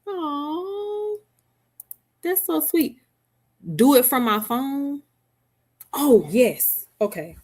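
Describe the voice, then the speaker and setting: A woman's voice: sits high, lively intonation, very slow, long pauses, darkish tone. A middle-aged woman hosting a casual live stream from home, chatting with viewers by name and laughing often. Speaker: livestream host. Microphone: headset mic.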